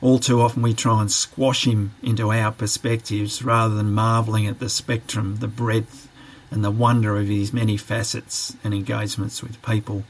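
A man answering interview questions on a podcast, reflective and careful, often pausing with fillers as he works through his ideas. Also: on the low side, little pitch movement, a little hiss or hum, low-quality recording. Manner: reflective, hesitant, many fillers and restarts. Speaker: podcast guest, training manager.

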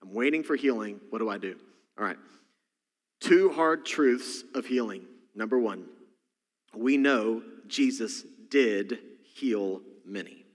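A man's voice: fairly low pitch, slow. A man with a Texas accent, a senior pastor preaching a sermon with folksy, joking asides. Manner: folksy, jokey storytelling with asides. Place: church sanctuary. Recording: long church hall reverb.

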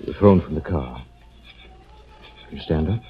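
An actor playing a calm, reassuring, gentlemanly hero in a 1940s radio drama. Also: male voice, rumbling low, a little slow. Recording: muffled, noisy 1940s radio recording.

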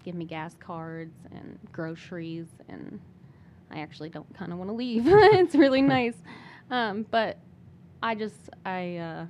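A woman's voice: lowish voice, expressive, a little slow, darkish tone. A young woman speaking casually and personally as a guest in an interview.

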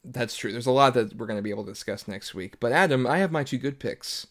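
A man's voice: medium pitch, fast talker. Jokey man co-hosting a podcast. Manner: jokey, playful banter. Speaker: podcast co-host. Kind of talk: casual movie podcast chat.

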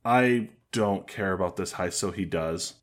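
Deep-voiced joking man, low pitch. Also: a little slow. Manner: dry, joking, riffs on bad movies. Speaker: podcast co-host. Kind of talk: casual comedic chat.

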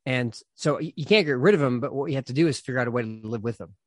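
A man's voice: medium pitch, rapid-fire, no room sound. Chatty man with a dry close sound. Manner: chatty, rambling with many fillers and restarts. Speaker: pastor and author. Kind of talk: casual interview conversation.

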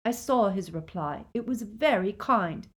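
A woman's voice: medium pitch, lively intonation, a little slow, pitched above the performer's own voice, lightly acted. A woman voicing an earnest, tender-hearted but proud young girl in an audiobook, speaking with emotion. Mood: fierce.

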